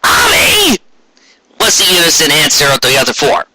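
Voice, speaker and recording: A man's voice: a little high, fast talker, background noise. A man narrating amateur fan fiction aloud in a dramatic, comic reading style.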